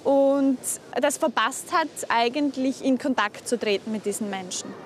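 A woman's voice: high-pitched, normal speed. Young woman speaking outdoors in a city. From Styria, Austria. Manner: friendly, with frequent fillers. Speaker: volunteer tour guide. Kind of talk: casual conversation and interview.

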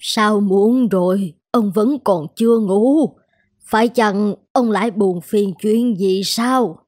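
A woman voicing a gentle, concerned wife in a cartoon drama. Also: medium pitch, takes it slowly, no echo. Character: gentle, concerned wife of an aging sword master. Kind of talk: dubbed cartoon drama dialogue.

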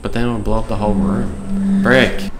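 A man's voice: lowish voice, moderate pace, heavy background noise. A young man giving casual, slangy commentary while playing a video game. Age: young adult. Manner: informal, slangy. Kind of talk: casual gameplay reaction commentary. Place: indoor recording setup. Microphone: tabletop microphone in front of the two players. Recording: roomy sound with game music behind. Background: horror game music and sounds.

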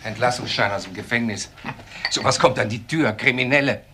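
An actor playing an indignant, contemptuous husband in a crime radio drama, speaking with scorn. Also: male voice, mid-range voice, brisk, noisy background, lightly acted. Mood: indignant, scornful. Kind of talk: naturalistic German crime radio drama acting.

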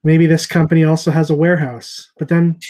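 A middle-aged man hosting a casual video call chat as a comic shop owner, thinking aloud with many pauses and fillers. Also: fairly high pitch, little pitch movement, mellow, slight background noise. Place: small room with shelves of comics behind. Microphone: earbud headset on a video call.

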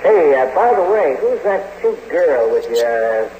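An actor playing a polite American army pilot who is hurt and in pain, in a radio drama. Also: male voice, a little high, big pitch swings, a little slow, reverberant, muffled recording. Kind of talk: 1940s radio acting. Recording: noisy old radio recording.

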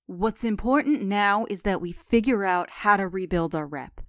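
A woman's voice: mid-range voice, normal speed, fairly bright tone, no echo, clean studio sound, lightly acted. A woman playing a composed, commanding teenage leader of a fifties gang in a comic dub. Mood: calm, resolute. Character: cool, decisive teenage leader of a 1950s gang.

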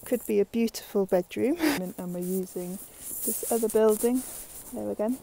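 A young woman giving a relaxed, chatty walkthrough of her land and barn, with plenty of fillers and soft laughs, recorded on an earphone mic outdoors. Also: mid-range voice, moderate pace, vocal fry, slight background noise. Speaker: vlogger. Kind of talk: casual walkthrough narration.

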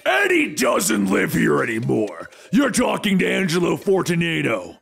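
A boastful, brutal villain , as a man puts him on in a comic recap, shouting and gloating over his kills. His voice sits a little above the narrator's own, loud and pushed, with faint music under it. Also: high-pitched, wildly swooping pitch, a little slow, vocal fry, breathy edge, full character voice. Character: boastful brutal young man in a monster suit.

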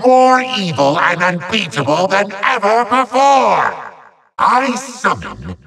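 An actor playing a pompous, short-tempered evil sorcerer villain in a video game, with a gravelly, nasal voice and bombastic, sputtering theatrical delivery. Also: male voice, high voice, wildly swooping pitch, slow pace, bright, forceful tone, recorded from a distance, full character voice. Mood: grandiose, menacing.

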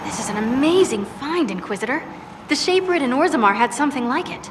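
An actress playing an excitable female dwarf arcanist who talks in chatty, rambling bursts. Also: high voice, quick pace, background noise.

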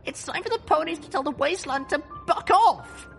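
A man's voice: very high voice, animated, brisk, creaky, fairly bright tone, soft background music, voice pushed up, exaggerated voice. A man voicing a young pony mare heroine, earnest, defiant and idealistic, in a dramatised audiobook. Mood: earnest, rousing. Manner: stern, earnest speechmaking.

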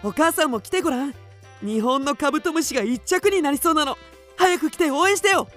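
An actor playing an excitable, mischievous ten-year-old schoolboy, a bossy big brother, in a children's radio drama. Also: male voice, extremely high pitch, a little fast, soft background music, exaggerated voice. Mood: excited cheering.

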